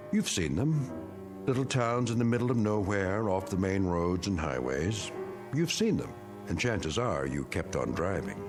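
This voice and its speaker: An actor playing a solemn, ominous narrator of an eerie radio anthology, speaking in a measured, portentous way. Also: male voice, low-pitched, lively intonation, music in the background. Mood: intrigued, ominous. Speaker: series narrator. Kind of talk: dramatic scripted radio narration.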